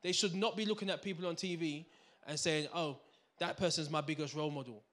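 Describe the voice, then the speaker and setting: A man's voice: a little high, fairly quick. A man, giving a finance talk to a live audience on a handheld mic and checking in with them all the time. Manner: animated, checks in with the crowd with "right? yeah?". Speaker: finance speaker. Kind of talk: lively seminar talk to an audience. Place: community hall with audience. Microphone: handheld microphone.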